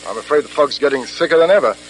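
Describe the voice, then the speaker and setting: A man's voice: fairly high pitch, rapid-fire, close to an everyday voice. Actor as a plain-spoken British policeman, faint hiss. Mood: dutiful, businesslike. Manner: matter-of-fact, questioning, deferential to superiors. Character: plain-spoken, dutiful male police officer. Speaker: actor in radio mystery. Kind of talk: old-fashioned British radio drama acting. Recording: noisy vintage radio recording with hum.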